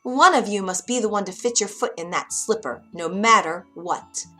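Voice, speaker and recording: A woman's voice: moderate pace, fairly bright tone, faint music behind, close to an everyday voice. A woman voicing a bossy, scheming stepmother in a storybook, with a commanding manner. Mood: urgent. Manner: commanding, hissing, shrill.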